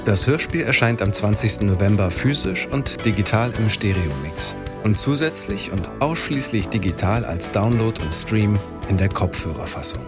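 Low-pitched male announcer over music. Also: expressive, normal speed, hardly any pauses. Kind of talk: scripted credits narration.

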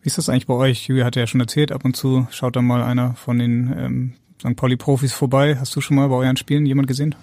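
A man's voice: medium pitch, completely flat delivery, fast, few pauses, warm tone, no room sound. A man hosting a casual podcast, asking chatty, joking questions. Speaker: podcast host.

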